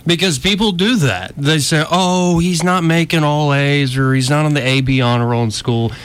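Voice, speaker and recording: A man's voice: fairly high pitch, moderate pace. Eager man host prodding with questions. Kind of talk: casual talk, asking questions.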